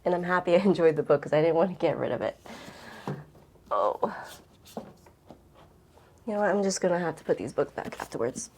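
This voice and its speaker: A woman's voice: fairly low pitch, animated, brisk, pauses often, a little mellow, a little hiss or hum. A woman book vlogger chatting casually and rambling to camera, with an informal, gushing and emphatic way of talking.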